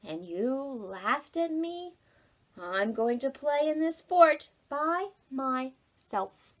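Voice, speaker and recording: A woman reading a picture book as a determined, indignant little girl, speaking emphatically and deliberately. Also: high voice, expressive, voice pushed up, full character voice. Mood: indignant, firm. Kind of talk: picture-book read-aloud.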